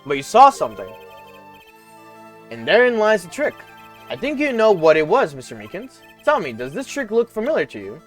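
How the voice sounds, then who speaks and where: A streamer voicing a confident male investigator who questions a witness in a lower, measured voice while reading a game aloud. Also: huge pitch swings, faint music behind. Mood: probing. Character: confident male detective-lawyer, calm and probing.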